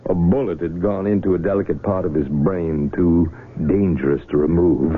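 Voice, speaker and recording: Old narrow-band radio sound: male actor as low-voiced, matter-of-fact physician, measured and thoughtful. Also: slight background noise, close to an everyday voice. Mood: sober, reflective. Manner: measured, explanatory. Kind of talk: 1970s radio drama dialogue.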